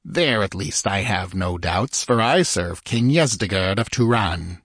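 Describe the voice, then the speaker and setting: A man reading an audiobook, voicing a spy posing as a foreign prince, speaking in a measured, confiding way. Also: lowish voice, lively intonation, fairly bright tone, no room sound, clean studio sound, exaggerated voice. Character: spy prince, sly and calculating.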